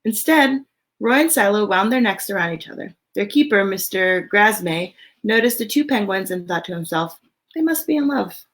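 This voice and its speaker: A woman reading a picture book aloud to children in an expressive storytelling way, with casual, chatty asides. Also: mid-range voice, moderate pace.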